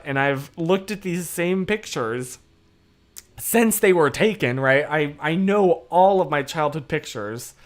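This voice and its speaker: A hoarse young man hosting a livestream, chatting casually and rambling off topic with easy laughs. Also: on the high side. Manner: rambling, loses his train of thought; laughs easily. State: hoarse, voice worn out. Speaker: livestream host. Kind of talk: casual livestream chat, answering comments.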